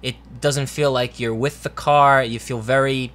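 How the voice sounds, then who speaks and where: A man's voice: medium pitch, fairly even intonation, unhurried, fairly bright tone. A man co-hosting a review show, talking in an informal, enthusiastic, conversational way. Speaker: film review show co-host. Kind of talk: casual movie review chat.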